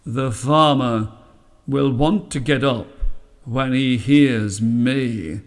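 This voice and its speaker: A man's voice: medium pitch, drawn-out words. A man voicing a proud, arrogant young cockerel with a knowing manner in a storybook tale. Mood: smug.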